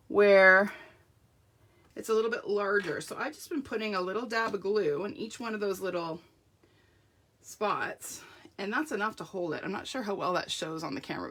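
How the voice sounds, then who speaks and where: Woman, middle-aged, croaky throat; chatty, self-deprecating crafter hosting a live stream, works as she talks. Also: medium pitch, fairly bright tone. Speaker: card-making demonstrator. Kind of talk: live craft tutorial with viewer shout-outs.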